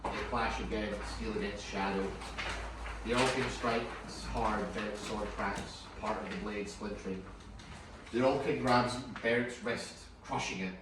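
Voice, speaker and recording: Male narrator for a table read: very low voice, scripted stage directions, heavy echo and background noise. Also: steady tone, a little slow. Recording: very echoey room, far mic, clear noise.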